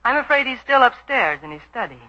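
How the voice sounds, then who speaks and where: An actress playing a coy, self-possessed secretary who banters flirtatiously in a 1940s radio drama. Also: female voice, on the high side, big pitch swings, brisk, pushed and bright. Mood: cool, guarded. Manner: coy, teasing. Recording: dull, lo-fi 1940s radio recording.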